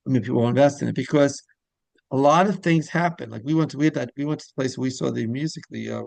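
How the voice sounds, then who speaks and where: A man's voice: medium pitch, quick pace, vocal fry, a little mellow, dry recording. A man hosting a casual interview in a rambling, repetitive way, often trailing off mid-thought. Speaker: interviewer and show host.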